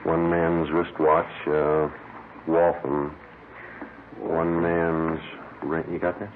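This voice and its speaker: An American man acting as a terse, businesslike police detective in a 1950s radio drama, with a deadpan, clipped delivery. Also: a bass voice, extremely slow, exaggerated voice. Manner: deadpan, clipped, matter-of-fact. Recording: noisy, narrow-band old radio recording.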